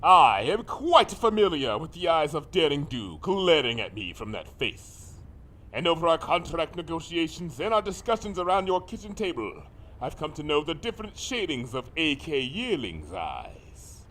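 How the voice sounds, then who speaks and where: Villain creature: high male voice, wildly swooping delivery, pompous, suave, menacing, formal storybook grandeur. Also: big pitch swings, a bit bright, slight background noise. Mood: intense, measured. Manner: formal, theatrical, deliberate. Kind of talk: dramatic fan fiction reading.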